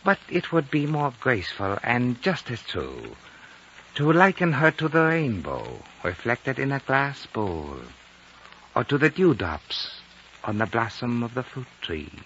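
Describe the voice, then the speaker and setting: An actor playing a wise, courteous older man of a monastery in a vintage radio drama, speaking formally in measured, flowery phrases. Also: mid-range voice, huge pitch swings, fairly bright tone. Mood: serene, courtly. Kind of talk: 1950s radio drama acting. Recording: dull 1950 radio recording, faint noise.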